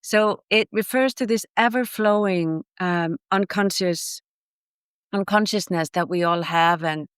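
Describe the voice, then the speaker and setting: A woman's voice: mid-range voice, measured pace, clean tone, dry recording, clean studio sound. A woman from Iceland whose first language is Icelandic, a guest author explaining ideas in a reflective, thoughtful way during a podcast interview.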